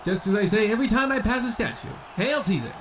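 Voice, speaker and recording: A man's voice: high voice, animated, fast. A man playing a pompous boxing announcer with grandiose, old-time commentary in a comedy radio play. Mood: excited, grandiose. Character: pompous male boxing announcer obsessed with ancient Rome. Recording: faint background noise.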